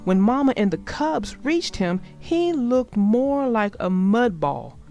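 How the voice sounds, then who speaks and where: A woman narrates a children's story in a very animated voice. She takes her time, phrasing things dramatically as she speaks to her listeners. Soft music plays behind her. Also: a little high. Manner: deliberate, dramatic phrasing, addresses listeners directly. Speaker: read-aloud storyteller.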